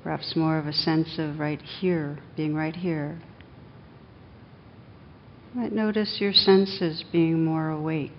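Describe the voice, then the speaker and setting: A woman meditation teacher leading a guided meditation in a gentle, unhurried manner with long pauses, on a dull recording. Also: fairly even intonation. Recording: dull, muffled sound with faint rumbling noise.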